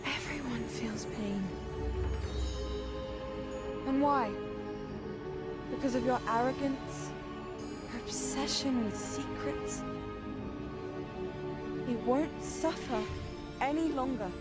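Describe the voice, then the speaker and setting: An actress playing a defiant, grieving young witch in a fantasy video game, accusing and impassioned, speaking deliberately. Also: high-pitched. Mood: anguished, defiant. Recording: loud music behind the voice. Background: loud music.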